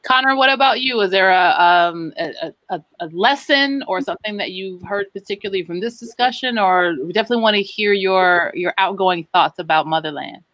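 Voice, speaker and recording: A woman's voice: medium pitch, brisk, few pauses, fairly bright tone, dry recording. Middle-aged woman moderating a call. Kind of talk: moderating a video call discussion. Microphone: video call.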